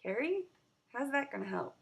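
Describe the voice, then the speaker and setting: A woman's voice: sits high, sing-song, moderate pace, vocal fry, voice pushed up, full character voice. A woman reading the part of an earnest, easily hurt young schoolgirl in a children's story, plain-spoken. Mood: puzzled.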